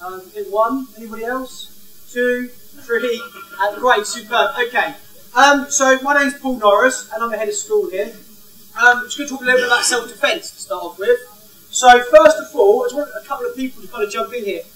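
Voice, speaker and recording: A man's voice: extremely high pitch, a little fast. A man in his thirties, the head of a school, walks around without a mic, asking the audience questions and leading an icebreaker. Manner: energetic, walks around gesturing, asks the crowd questions. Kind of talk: interactive talk without a microphone. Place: large indoor hall. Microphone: no mic, far camera. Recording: roomy hall from a distance.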